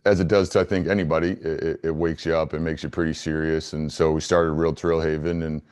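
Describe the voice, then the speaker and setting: A man with a low, clean, resonant voice answers interview questions conversationally. He sounds earnest and measured, with little rise and fall in his pitch. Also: little pitch movement, a little mellow, clear, resonant tone. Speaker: interview guest, business founder.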